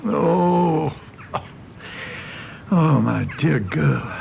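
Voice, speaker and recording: Cynical, creaky-voiced British doctor. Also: male voice, fairly high pitch, animated, extremely slow, darkish tone, dull audio, a little hiss or hum, full character voice. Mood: gruff, soothing. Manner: wry, rambling, theatrical musing. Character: cynical, world-weary doctor, a fallen idealist, teasing and philosophical. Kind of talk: British radio play acting.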